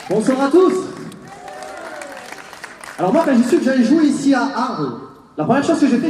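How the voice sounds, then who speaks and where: A man with a very high voice and a soft, mellow tone rattling off stand-up jokes. He is far from the mic, with long reverb and a faint crowd behind him. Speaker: stand-up comedian. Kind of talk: stand-up comedy set. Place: open-air ancient theatre stage. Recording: distant, echoey open-air stage with audience.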